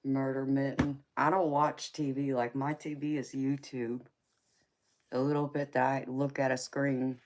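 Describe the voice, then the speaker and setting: A woman's voice: a bass voice, level tone, a little slow, darkish tone. A woman in her forties with a Southern accent and twang, chatting casually with viewers on a tarot livestream, calling them baby and honey. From Georgia, US. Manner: affectionate, calls viewers baby and honey, laughs often. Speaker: tarot reader livestream host. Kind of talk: casual live chat reading comments.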